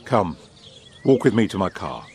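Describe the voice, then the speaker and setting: Man playing a low-voiced, suave British wartime official. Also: very animated, slightly rough, full character voice. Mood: brisk, confident. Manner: urbane, eloquent, measured, grandiloquent. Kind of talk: period audio drama acting.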